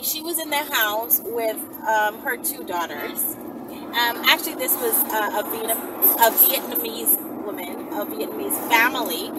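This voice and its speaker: A high-voiced woman in her thirties chats like a vlogger telling stories, blunt and slangy. Her pitch swings widely as she goes. She is recorded inside a moving car with loud road noise around her. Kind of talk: casual storytelling chat to camera. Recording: distant mic, loud vehicle noise. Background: loud road and vehicle noise.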